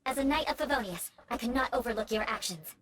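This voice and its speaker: A woman's voice: a little high, expressive, fast talker, a bit bright, slight background noise. An actress playing a proud young noblewoman knight in a video game, cool, poised and dryly defiant. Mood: firm, composed. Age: young adult.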